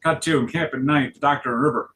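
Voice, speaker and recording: A man's voice: medium pitch, fairly even intonation, fast talker, a bit bright. A man reading stage directions as dramatic, intense script narration.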